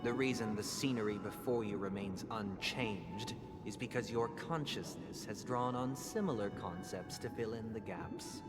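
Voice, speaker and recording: A solemn young male game mastermind, voiced by an actor, speaks low and softly in formal, measured phrases. His brooding, persuasive storytelling rings in a long reverb over loud music. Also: natural voice. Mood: solemn, courteous. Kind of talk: scripted video game dialogue, storytelling monologue.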